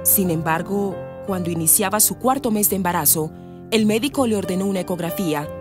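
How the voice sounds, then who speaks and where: A woman's voice: mid-range voice, brisk, over music. A woman with a Colombian accent reading scripted narration for a radio drama.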